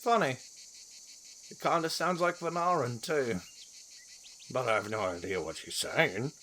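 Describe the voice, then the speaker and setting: A man's voice: medium pitch, measured pace, pushed and bright, a little hiss or hum. A man playing a brash, playful monkey-folk warrior who likes his drink, crude and slangy, in a fantasy audio drama. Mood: casual, curious.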